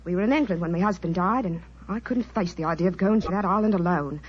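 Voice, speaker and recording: A woman's voice: fairly low pitch, fast talker, husky. An actress playing a candid, breezy widowed queen with an informal, chatty manner in a vintage radio drama. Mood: chatty, confiding. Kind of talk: 1940s radio drama acting. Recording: dull, muffled vintage radio recording.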